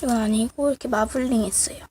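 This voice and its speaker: A woman with a cold that makes her voice sound off, casually showing handmade crafts in a halting, apologetic, self-deprecating way. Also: fairly high pitch, steady tone. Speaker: craft video creator. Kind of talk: casual show-and-tell of handmade clay miniatures. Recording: noisy amateur recording with clicks.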